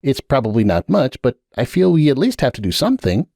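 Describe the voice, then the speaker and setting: A man's voice: mid-range voice, big pitch swings, a little fast, gravelly, darkish tone, no room sound, studio quality, voice pushed up. A man voicing an earnest, somewhat awkward adult unicorn wizard who speaks carefully and apologetically in a dramatic audiobook reading. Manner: careful, apologetic, then insistent.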